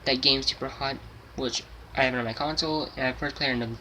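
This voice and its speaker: A man's voice: medium pitch, fairly even intonation, quick pace, pushed and bright, slight background noise, rough recording. A seventeen-year-old young man talking casually to his channel, rambling and repeating himself with many restarts. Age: 17, about to turn 18. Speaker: gaming channel host. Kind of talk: casual unscripted monologue.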